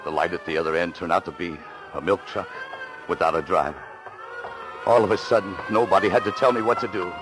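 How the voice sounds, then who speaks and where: A man's voice: sits low, a little fast, lightly acted. An actor playing a desperate, wounded man on the run, telling his story intensely in a 1940s radio thriller. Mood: pained, weary. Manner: intense, hard-boiled. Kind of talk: 1940s radio thriller acting, narration and dialogue. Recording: remastered 1940s radio, music behind.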